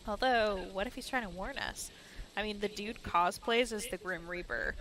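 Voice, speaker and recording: A woman's voice: medium pitch, moderate pace, fairly bright tone, a little hiss or hum, lightly acted, rough recording. Woman reading as Ashley, a sarcastic young American woman. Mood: sardonic. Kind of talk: dramatic read-aloud visual novel narration.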